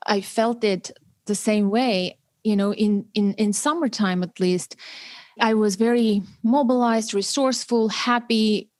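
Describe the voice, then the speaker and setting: A woman's voice: medium pitch, a little mellow, no room sound, studio quality. A woman in her forties from Armenia, hosting a podcast and speaking earnestly and reflectively. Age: 40s. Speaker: podcast host. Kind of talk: podcast interview.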